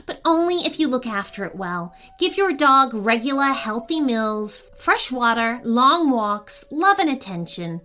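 A woman's voice: fairly high pitch, very animated, a little slow, few pauses, pushed and bright, heavily acted. A woman voicing a polite, earnest, slightly nervous male dog owner in a children's storybook, eager and friendly. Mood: earnest, helpful.

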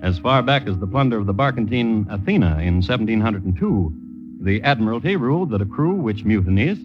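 A man narrating a scripted old-time radio story in a wry, declamatory way with deliberate rhetorical phrasing. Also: fairly low pitch. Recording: old radio broadcast with music behind, dull. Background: music.

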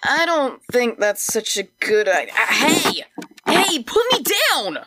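A woman's voice: on the high side, very animated, takes it slowly, bright, forceful tone, no room sound, full character voice. A woman voicing a small, wary, sensible talking tree in a fantasy audio drama, hesitant and disapproving. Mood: worried, scolding.

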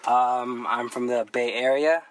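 A man's voice: medium pitch, fairly even intonation, a little slow, a little hiss or hum. A young man from the Philippines, a guest photographer, chatting in a laid-back, rambling way with lots of fillers. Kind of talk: casual podcast chat.

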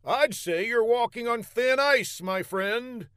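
A man's voice: extremely high pitch, slow, fairly bright tone, clean tone, dry recording, clean studio sound, voice pushed up, exaggerated voice. A man voicing a cocky, quibbling hero with a taunting manner in a comic audiobook. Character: cocky, quibbling male hero hiding from villain.

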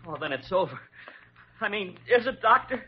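A man's voice: high-pitched, big pitch swings, moderate pace, a little hiss or hum. An actor playing a fretful, earnest young father-to-be, anxious and flustered, in an old radio drama. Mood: nervous relief. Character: jittery, fretful, eager young expectant father. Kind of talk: 1940s radio comedy-drama acting. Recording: dull, muffled vintage radio recording.

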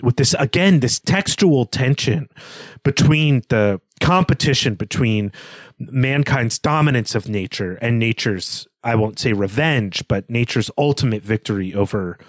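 A man's voice: mid-range voice, unhurried, no echo. A man co-hosting a literary analysis podcast, speaking thoughtfully and carefully in formal wording. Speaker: podcast co-host.

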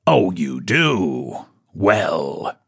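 A sly, shabby little book agent from a storybook, as a male narrator puts him on. His voice swoops widely and has a husky edge, with pompous, mock-courteous phrasing and an air of mystery. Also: low voice, big pitch swings, very slow, a bit bright, no room sound, clean studio sound, heavily acted. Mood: vengeful. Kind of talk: storybook character voice.

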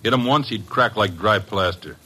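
A hot-tempered tough guy from a vintage radio crime show. His voice is lowish and hard-edged, with an American accent and blunt street slang. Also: moderate pace, bright, forceful tone, husky. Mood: accusing. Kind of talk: old-time radio crime drama acting.

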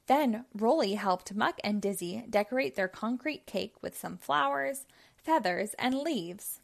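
A woman reading a story aloud for young children in a deliberate storytelling style. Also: on the high side, animated, creaky.

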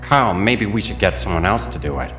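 A man's voice: low voice, expressive, a little fast. An actor playing a reluctant, wary male gangster henchman in an audio drama, echoey with ominous music behind.

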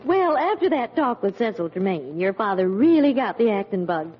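Lively, fond radio-comedy mother played by an actress. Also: medium pitch, moderate pace, a little hiss or hum, close to an everyday voice. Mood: amused, fond. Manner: chatty storytelling. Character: fond, amused mother telling a family story. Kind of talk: 1940s radio comedy acting. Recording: muffled old radio recording, audience.

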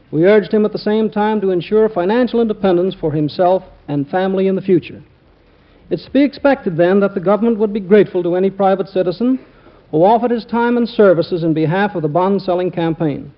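A man reading a prepared, formal official speech as a government representative presenting an award on a muffled vintage radio broadcast. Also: high-pitched.

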